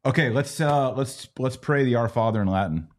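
A man's voice: mid-range voice, normal speed. A middle-aged American man hosting a live Q&A, teaching informally in short, conversational pieces. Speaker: podcast host. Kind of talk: live Bible Q&A, casual teaching.

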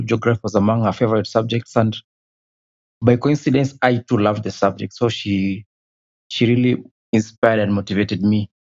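A man's voice: fairly low pitch, darkish tone, muffled recording. A man, answering interview questions hesitantly and often repeating a word as he recalls his past. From Kenya. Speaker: guest.

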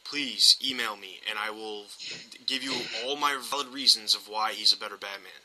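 A man's voice: fairly low pitch, moderate pace, bright, forceful tone, low-quality recording. A man hosting a podcast, talking casually about film in an emphatic, opinionated way. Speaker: podcast host.

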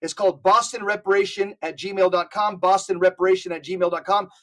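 A man's voice: high-pitched, steady tone, fairly quick, fairly bright tone. A man speaking as a podcast guest, telling stories and urging listeners in an emphatic, preacherly way, often repeating his words. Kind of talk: animated storytelling and exhortation.

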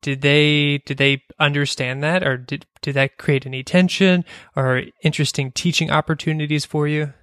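Male interviewer, measured, hesitant, monotone, dry recording. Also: on the high side, clean tone, no echo. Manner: measured, hesitant, brief affirmations. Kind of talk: interview questions.

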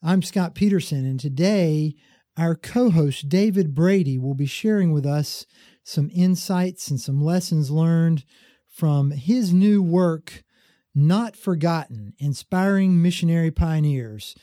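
Dark, mellow-voiced male podcast host. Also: a little high, takes it slowly, no echo, studio quality. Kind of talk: interview hosting.

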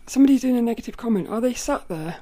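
Rough-voiced woman musing aloud. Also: fairly high pitch, expressive, racing, warm tone. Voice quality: rough, cracking voice. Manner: thoughtful, rambling, laughs often. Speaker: podcast host. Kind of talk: casual reflective monologue.

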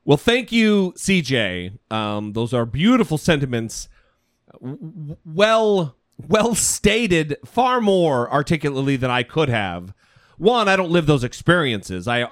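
A man co-hosting a podcast, giving reflective commentary in a halting, deliberate way. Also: medium pitch, a bit bright, no echo, studio quality. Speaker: podcast co-host.

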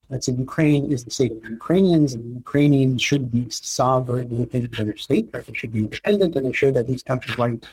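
A man answering interview questions about history, measured and explanatory, often checking in with "right?". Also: medium pitch, darkish tone, husky, no echo, poor audio. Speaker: historian guest.

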